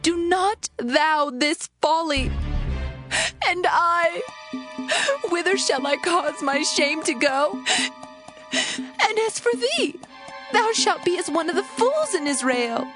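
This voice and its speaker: An actress playing a young royal daughter who pleads in slow, anguished speech in a dramatized scripture reading. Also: female voice, extremely high pitch, animated, over music, full character voice. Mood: pleading.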